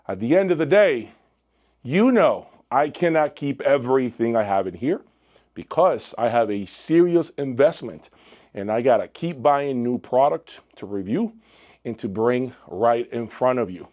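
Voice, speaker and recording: A man presents a product review as the host of his own channel. He speaks in a deliberate, emphatic way, breaking his phrases with dramatic pauses. His voice sits at an average pitch for a man. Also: expressive, a little mellow.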